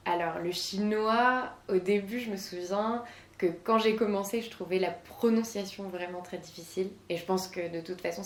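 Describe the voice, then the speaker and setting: A woman's voice: on the low side, reverberant. A woman in her twenties from southern France, answering interview questions as a guest with many hesitations. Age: 20s.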